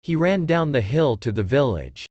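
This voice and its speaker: A man's voice: mid-range voice, very animated, normal speed, darkish tone, no echo, lo-fi sound. A man narrating a scripted story for children.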